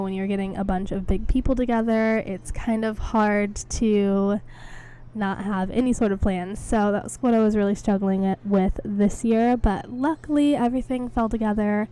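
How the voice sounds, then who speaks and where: Young female podcast host, hoarse from a cold, relaxed dark-toned, chatty and rambling. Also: mid-range voice. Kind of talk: casual solo chat. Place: home, indoors.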